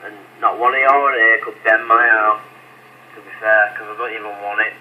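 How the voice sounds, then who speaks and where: A man's voice: fairly low pitch, little pitch movement, normal speed. A man on a CB radio channel, arguing bluntly and swearing as he insists on his point. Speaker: CB radio operator and channel host. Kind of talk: argumentative radio chat. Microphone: CB radio handset. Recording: lo-fi CB radio transmission with static. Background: radio static hiss.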